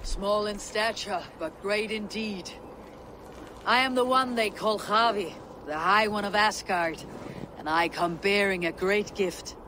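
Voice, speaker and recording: A woman voicing a defiant, cunning Norse god in a fantasy video game, grave and measured. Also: medium pitch, noisy background, close to an everyday voice. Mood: proud, courtly.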